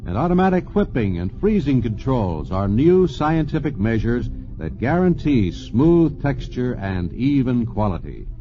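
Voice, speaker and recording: A man giving measured, announcer-style scripted narration for an old radio documentary. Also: mid-range voice. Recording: dull old radio broadcast with music.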